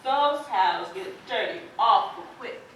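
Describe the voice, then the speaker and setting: A woman's voice: medium pitch, animated, unhurried, a bit bright, distant mic. A woman playing a blunt farm wife, very echoey. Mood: defensive. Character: plainspoken, defensive farmer's wife, loyal to women. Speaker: amateur stage actor. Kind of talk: stage drama acting. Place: indoor theater stage, distant microphone. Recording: echoey stage from afar, clear background noise.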